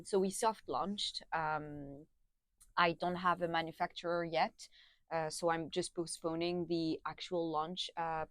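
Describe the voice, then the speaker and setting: A young woman from France, a guest on a podcast, chatty and laughing often, with many pauses and restarts. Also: lowish voice, no echo. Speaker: guest, food brand founder. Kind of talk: casual interview.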